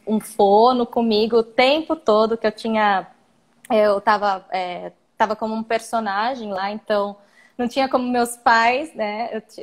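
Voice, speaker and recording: A young Brazilian woman with a Brazilian Portuguese accent, chatting on a video call as an interview guest, meandering and restarting her sentences. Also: a little high. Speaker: guest, actress and singer. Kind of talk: casual live-stream interview.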